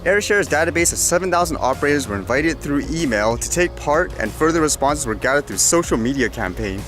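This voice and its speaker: A man's voice: on the high side, expressive, brisk, fairly bright tone. A man vlogging casually, talking through things informally and musing aloud with questions to himself and viewers. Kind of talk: casual vlog chat, reading news items aloud with comments. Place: outdoors in a windy urban area. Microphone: action camera. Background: clear background music.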